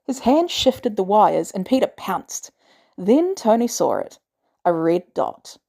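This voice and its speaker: A woman's voice: mid-range voice, big pitch swings, takes it slowly, creaky, warm tone. A woman reading scripted fan fiction aloud as a narrator. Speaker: podfic narrator.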